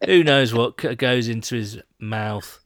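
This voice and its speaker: A man chatting on a podcast in a rambling way, often breaking into giggles. His voice sits at an ordinary middle pitch. The recording is close and dry, with no room sound. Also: a little slow, no room sound. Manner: giggly, rambling, reads listener questions. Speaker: podcast co-host. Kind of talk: casual chat.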